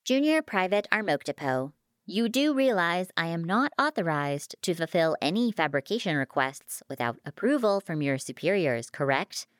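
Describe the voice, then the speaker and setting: A woman voicing a sly, polite fabricator machine that speaks in a formal, measured, teasing way in an audiobook story. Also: mid-range voice, big pitch swings, clean tone, dry recording, studio quality, pitched above the performer's own voice, natural voice.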